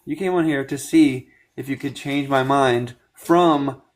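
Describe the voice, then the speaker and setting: A man's voice: medium pitch, measured pace. A man in his late twenties hosting a live stream, chatting casually and pressing a guest with questions, cutting in to finish his point. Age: 20s. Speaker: livestream host. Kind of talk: casual live chat and debate.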